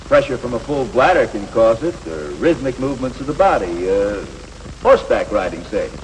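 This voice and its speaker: A man's voice: medium pitch, sing-song, normal speed, lightly acted. An actor playing a patient, matter-of-fact instructor of boys in a 1950s educational film, an American man who explains things plainly and reassuringly. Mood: frank, reassuring. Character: patient, matter-of-fact male coach teaching adolescent boys. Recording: noisy, reverberant 1950s film soundtrack.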